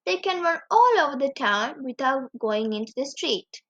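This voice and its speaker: Lively high-voiced woman reading a story. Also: normal speed. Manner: expressive, animated delivery. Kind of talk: children's story read-aloud. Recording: dull lo-fi recording.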